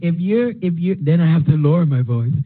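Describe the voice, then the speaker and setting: A woman's voice: low-pitched, expressive, brisk, slight background noise. Big-voiced middle-aged woman, tinny. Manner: forceful, big voice. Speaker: panelist, union negotiator. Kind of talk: panel discussion answer. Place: conference room with a panel table. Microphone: handheld mic. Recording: tinny phone-like sound.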